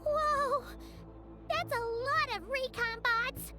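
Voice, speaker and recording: A woman voicing a small chirpy creature companion in a video game, excitable and teasing. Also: very high voice, takes it slowly. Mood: excited. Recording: game audio over music.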